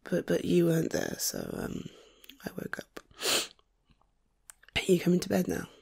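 A woman playing a sleepy, tender, playful girlfriend in an ASMR roleplay, speaking softly and coaxingly with a breathy, often whispered delivery. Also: on the low side, sing-song, exaggerated voice. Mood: sleepy, affectionate. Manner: soft, coaxing, affectionate, unhurried.

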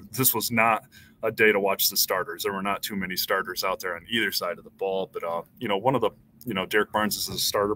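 A man in his thirties talks through a postgame recap over a headset mic, loose and conversational, firing off numbers and restarting sentences. His voice sits a little low but sounds bright and forceful. Faint room ambience sits behind him. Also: a little hiss or hum, low-quality recording. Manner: conversational, rattling off stats with restarts. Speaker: sports beat reporter. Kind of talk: casual postgame live stream. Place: small indoor room.

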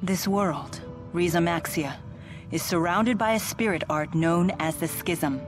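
Woman voicing a low, self-assured stately young woman. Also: a little slow, close to an everyday voice. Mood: solemn, certain. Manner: formal, matter-of-fact, assured. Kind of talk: English video game voice acting. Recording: game music behind the voice.

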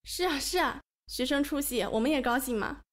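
A chatty schoolteacher acted by a woman with a high voice, talking in a campus radio drama. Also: a little fast, close to an everyday voice. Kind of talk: amateur campus audio drama acting.

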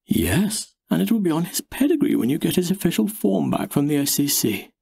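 A man voicing a bright, obliging woman who breeds dogs, explaining things helpfully in an audiobook story. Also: on the high side, sing-song, brisk, creaky, husky, no room sound, pitched above the performer's own voice, heavily acted. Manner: bright, informative.

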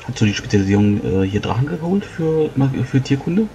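A man's voice: mid-range voice, very animated, brisk, dark tone. A man talking casually as a player in a tabletop role-playing game. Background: background music.

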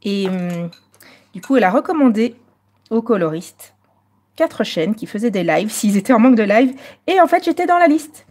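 A Belgian woman hosting a live coloring stream, chatting casually and moving between French and slightly halting English. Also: on the high side, expressive, a little mellow.

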